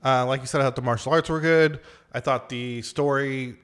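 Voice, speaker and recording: Guy cohosting a movie review podcast, medium pitch, loose chatty delivery, thinks out loud. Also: fairly even intonation, brisk, clean tone. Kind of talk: casual movie review chat.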